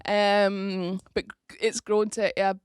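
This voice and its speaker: A woman's voice: medium pitch, level tone, takes it slowly, clean tone, no room sound, studio quality. A young woman from Scotland, a rugby player, chatting casually as a podcast guest, laughing often and restarting her sentences.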